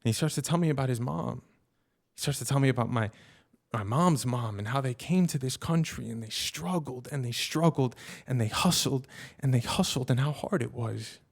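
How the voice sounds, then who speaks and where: A middle-aged man performs live personal storytelling. He talks in an animated, colloquial way with slangy asides, and his voice sits at an average male pitch. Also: normal speed, gravelly.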